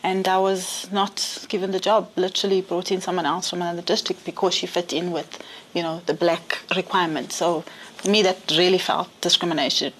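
A woman's voice: fairly low pitch, a bit bright, a little hiss or hum, poor audio. A woman with a South African accent recounting personal experience conversationally as a panel guest. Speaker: panel guest, public health professional.

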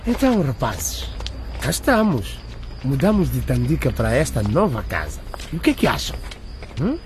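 A man's voice: mid-range voice, huge pitch swings, normal speed. Anxious father, noisy recording. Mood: reassuring. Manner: stammering, repeating himself. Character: anxious pleading father, arrested in front of his family. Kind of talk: radio drama acting. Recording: loud background noise.

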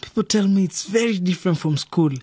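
A supportive teenage boy in a radio drama. He sounds husky and casual, recorded dry and close with little room sound. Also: sits high, brisk, a little mellow, dry recording. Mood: curious, unsure. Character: supportive teenage brother, young national team player.

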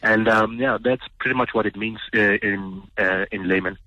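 A South African man with a slightly low, husky voice gives a casual interview. He speaks haltingly and informally, with a forceful edge, over a dull radio call-in line. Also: steady tone, no echo. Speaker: interview guest, animator or comic writer.

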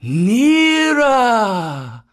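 A huge, ancient python from a storybook. The voice is raised well above natural speech and swings widely. Each command is drawn out slowly, hypnotic and full of menace. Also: medium pitch, huge pitch swings, voice pushed up, exaggerated voice.

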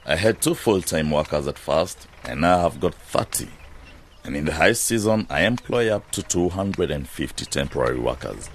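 A man's voice: very deep voice, animated, moderate pace, a little hiss or hum. An actor playing a proud, hard-headed farmer and businessman in a radio drama, self-assured and matter-of-fact.

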